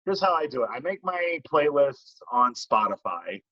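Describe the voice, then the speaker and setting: A man's voice: fairly high pitch, big pitch swings, normal speed, dry recording. A man hosting a casual interview in a conversational style, heard on a somewhat lo-fi recording. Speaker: interviewer, host. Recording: dull lo-fi, dry close sound.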